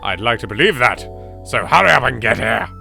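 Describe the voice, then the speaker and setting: A man's voice: medium pitch, sing-song, brisk, gravelly, sharp tone, faint music behind, heavily acted. A British man voicing a jittery, chatty young woman in a horror game, exasperated and teasing. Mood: pouting, nagging.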